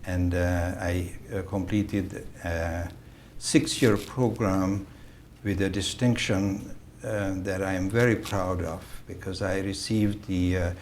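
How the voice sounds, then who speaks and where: A man in his seventies from Hungary, a native Hungarian speaker, telling his life story in a slow, halting way with many fillers and restarts. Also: deep voice, steady tone, a little mellow, a little hiss or hum. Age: 70s. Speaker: interview guest, vascular surgeon. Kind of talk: recorded oral-history interview.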